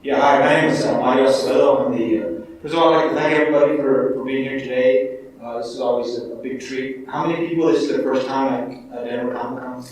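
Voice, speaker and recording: A man's voice: medium pitch, fairly even intonation, fast talker, a little mellow, a little hiss or hum. A man author on a panel, friendly and joking with the audience. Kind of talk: convention panel introduction. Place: large convention room. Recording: echoey room, far from the mic.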